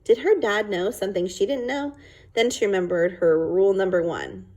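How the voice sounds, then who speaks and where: Husky, expressive woman reading a storybook. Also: mid-range voice, moderate pace. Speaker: storyteller reading a children's book aloud. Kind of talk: expressive read-aloud narration.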